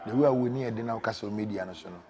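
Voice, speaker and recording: A man with a Ghanaian accent and a deep voice, a media personality telling stories in an animated interview and raising his voice for emphasis. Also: racing. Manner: switches between calm storytelling and bursts of loud emphasis.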